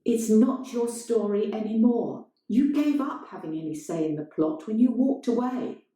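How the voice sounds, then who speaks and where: A woman's voice: on the high side, moderate pace, dark tone, pitched above the performer's own voice, close to an everyday voice. A woman reading a story and voicing a pleading, aggrieved man of the theatre with an urgent, protesting manner. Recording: very echoey room.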